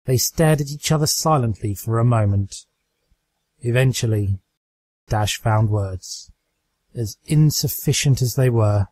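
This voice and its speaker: A man's voice: mid-range voice, measured pace, darkish tone, no room sound. A man narrating a dramatic story as a fiction reader.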